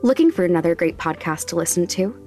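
Woman doing podcast end credits, lowish voice, scripted read over some music. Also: expressive, fast, warm tone. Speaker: podcast creator reading credits. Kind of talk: scripted announcement. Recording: music underneath.

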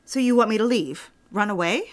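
An actress playing a sincere, quietly stubborn young secretary in an audio drama. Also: female voice, mid-range voice, fairly quick, close to an everyday voice. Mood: defensive. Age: young adult. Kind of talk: audio drama acting and narration.

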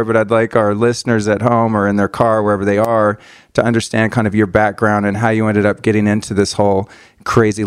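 A man in his forties hosting a casual podcast interview, enthusiastic and quick to laugh. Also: on the low side, smooth, no echo, studio quality. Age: 40s. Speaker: podcast host.

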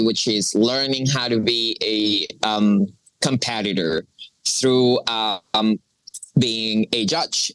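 Halting woman, dry sound. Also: a bass voice, a bit bright, no room sound, poor audio. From Colombia. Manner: halting, searching for words with many restarts. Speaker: coffee professional, guest. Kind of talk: interview conversation.

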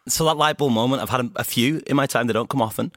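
A man from Bolton with a Bolton accent, a podcast guest telling stories in animated casual chat and acting out his own quotes. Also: medium pitch, fast talker, dry recording, clean studio sound. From Bolton, England.

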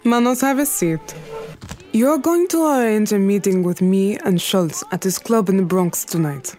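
A woman's voice: mid-range voice, a little slow, warm tone, faint music behind, natural voice. An actress playing a commanding, shrewd woman crime boss in a period radio drama, clipped and imperious in her delivery.

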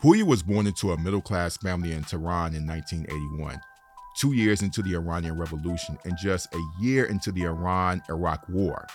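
A man with a very low, deep voice reads the narration as the show's host. He keeps a measured, steady pace through the script, and faint music plays underneath him. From Detroit, United States.

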